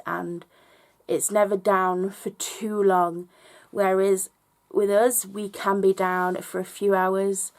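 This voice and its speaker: Slightly hoarse, gravelly woman vlogging. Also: medium pitch, darkish tone. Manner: earnest, reflective, talks straight to viewers. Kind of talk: personal vlog monologue.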